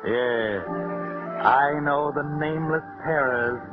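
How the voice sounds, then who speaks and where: A man playing a sinister, all-knowing narrator in a measured, theatrical 1940s radio mystery style. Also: mid-range voice, natural voice. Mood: ominous. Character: the Whistler, sinister all-knowing male narrator who walks by night. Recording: dull vintage 1940s radio recording. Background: music.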